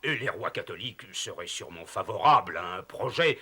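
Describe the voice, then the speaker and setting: Bright, forceful cartoon authority figure. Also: male voice, sits low, expressive, a little fast, slightly rough, exaggerated voice. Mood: measured, assured. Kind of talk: French cartoon dub.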